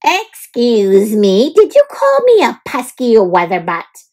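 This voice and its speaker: A woman's voice: on the high side, wildly swooping pitch, slow, a little mellow, full character voice. A woman voicing a fussy little male woodpecker in a children's story, exclaiming in a plaintive way. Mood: offended.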